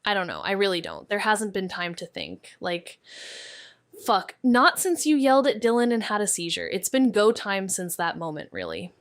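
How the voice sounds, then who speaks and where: A woman's voice: mid-range voice, huge pitch swings, moderate pace, dry recording. An actress playing a wry, guarded young woman, a former med student, in a naturalistic audio drama with dry, understated delivery. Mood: earnest, weary.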